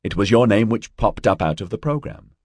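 Male narrator as female detective, composed, frank, sympathetic, husky, animated pitch, audiobook. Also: a little fast, no echo, studio quality. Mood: sympathetic, explaining.

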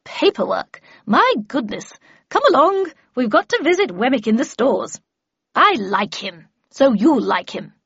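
A woman voicing a brisk, bossy, cheerful older lady mentor in an audiobook. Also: high voice, sing-song, voice pushed up. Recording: muffled audio, roomy echo.

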